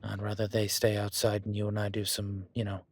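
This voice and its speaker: An easygoing, seasoned cowboy rancher, voiced by a man in an audio roleplay. His voice sits low with a husky edge, and he talks in a flat, folksy, plainspoken way straight to the listener. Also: a little fast, dry recording.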